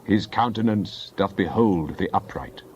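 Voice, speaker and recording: A man giving a dramatized Bible reading in a solemn, declamatory manner with deliberate phrasing. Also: deep voice, animated, a little mellow, lo-fi sound, slight background noise. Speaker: scripture narrator.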